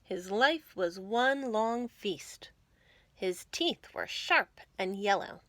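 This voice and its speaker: A woman reading a picture book aloud for young children, expressive and deliberate, with dramatic emphasis. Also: medium pitch, no echo. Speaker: children's librarian reading storytime.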